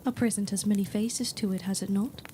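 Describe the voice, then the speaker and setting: A woman acts a young governess, modest yet frank, with a soft-edged mellow tone at medium pitch. She is measured and polite in this gothic audio drama, over clear background noise. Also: fairly even intonation, close to an everyday voice. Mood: reserved, candid. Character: modest, candid eighteen-year-old governess with quiet resolve. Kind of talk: period audio drama acting.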